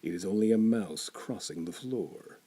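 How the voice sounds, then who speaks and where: A man's voice: lively intonation, warm tone, breathy edge. A man voicing a frightened old man who nervously soothes himself. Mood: anxious. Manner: quick, nervous, higher-pitched.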